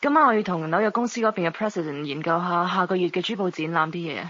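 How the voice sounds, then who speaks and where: A woman's voice: fairly low pitch, fast, a bit bright, highs cut off. An actress playing a poised, possessive career woman, sugary and subtly condescending, in a Hong Kong Cantonese radio drama.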